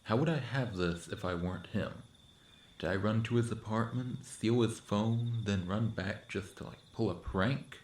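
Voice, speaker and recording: A man's voice: fairly low pitch, moderate pace, reverberant, slight background noise, lightly acted. A young man acting in an audio roleplay as an awkward, sardonic friend who is secretly a monster, talking casually and hesitantly to the listener. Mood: awkward, defensive.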